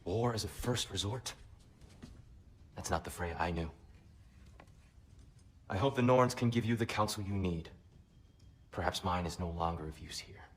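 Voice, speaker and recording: An actor playing a weary, gentle, peace-loving god in a game, measured and formal, full of self-doubt. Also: male voice, low-pitched, slightly rough. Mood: reproachful. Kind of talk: dramatic video game acting.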